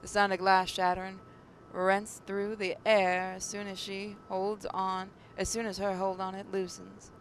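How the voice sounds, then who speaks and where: A woman reads story narration aloud in a dramatic style at a middling pitch, sometimes tripping over her words. There is a noticeable low rumble of noise behind her. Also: level tone, a little slow. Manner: stumbles over words while reading. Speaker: gaming channel narrator reading a visual novel aloud.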